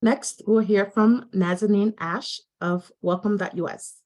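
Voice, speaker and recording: A woman moderating a virtual panel, with polite, formal and measured phrasing. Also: medium pitch, steady tone, darkish tone, poor audio. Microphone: video call.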